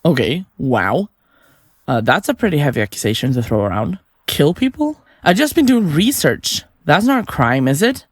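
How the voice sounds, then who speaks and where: Blithe, lively-voiced killer podcaster. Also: female voice, sits low, moderate pace, husky, no echo, studio quality, full character voice. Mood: feigned innocence. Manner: blithe, chatty, flippant, theatrical. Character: chirpy, self-absorbed female podcaster and remorseless serial killer. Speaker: suspect under interrogation. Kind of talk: audio drama acting.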